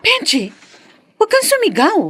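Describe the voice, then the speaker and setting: An actress playing a hurt but loving young Filipino wife in a radio drama, gentle and earnest. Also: female voice, very high-pitched, huge pitch swings, moderate pace, no echo, full character voice. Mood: wounded, pleading.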